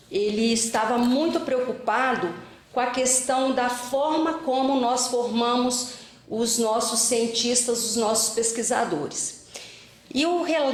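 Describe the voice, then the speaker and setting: A middle-aged woman from Minas Gerais, giving a lecture to teachers in a conversational storytelling manner with frequent fillers. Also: on the high side. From Minas Gerais, Brazil. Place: lecture hall. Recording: echoey hall.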